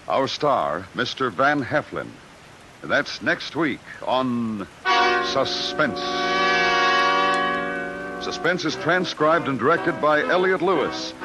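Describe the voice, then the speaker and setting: Dramatic American radio announcer over loud music. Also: male voice, on the high side, rough recording. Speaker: radio suspense show announcer. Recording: old radio broadcast recording.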